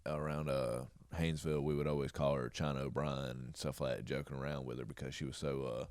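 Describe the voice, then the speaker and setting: A middle-aged man with a deep, booming voice and a Southern Georgia accent, rambling through stories with chuckles and fillers as a podcast guest. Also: level tone, quick pace, a little mellow. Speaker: podcast guest, jiu jitsu coach. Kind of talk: casual interview storytelling.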